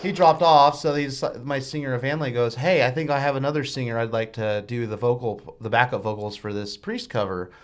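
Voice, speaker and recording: Metal singer guest, man with a high shrill voice, rambly and animated, radio studio. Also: brisk, hardly any pauses. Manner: rambling, animated storytelling with restarts. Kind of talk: casual interview chat.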